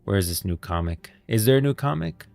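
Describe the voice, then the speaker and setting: A man's voice: fairly low pitch, very animated, brisk, vocal fry, darkish tone, no echo, faint music behind. A man hosting a livestream, chatting casually and thinking aloud to viewers in informal, slangy language. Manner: informal slangy talk addressing viewers as guys and bros. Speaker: livestreamer and host.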